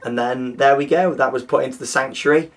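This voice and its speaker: A young man hosting a theme park news show, his voice groggy and hoarse, chatting enthusiastically with plenty of fillers. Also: medium pitch, fairly even intonation, a little fast. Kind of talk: casual scripted news presenting.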